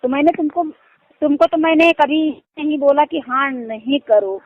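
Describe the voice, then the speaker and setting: A woman with a high-pitched voice speaks in a casual, insistent way on a phone call. She keeps checking that she is being understood. The recording is muffled and lo-fi. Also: moderate pace, no echo. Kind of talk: casual private phone conversation.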